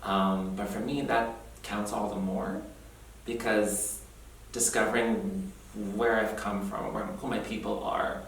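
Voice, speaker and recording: A young man reflecting earnestly on his heritage in an oral history interview, thoughtful and quick to laugh. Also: fairly low pitch, steady tone, fairly bright tone. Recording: roomy echo, distant mic, faint noise.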